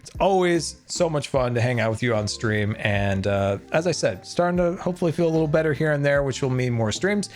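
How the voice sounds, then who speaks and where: A man chatting casually on a game live stream, excitable and talkative, thanking his viewers as he plays. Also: fairly high pitch.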